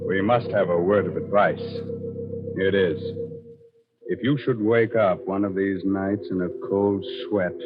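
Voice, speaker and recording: A man with a lowish voice hosts a vintage radio horror show. He draws his words out very slowly with theatrical, sardonic relish. Music plays clearly behind him on the dulled old broadcast. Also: fairly even intonation. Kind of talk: 1940s radio hosting, macabre punning. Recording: muffled 1940s radio broadcast.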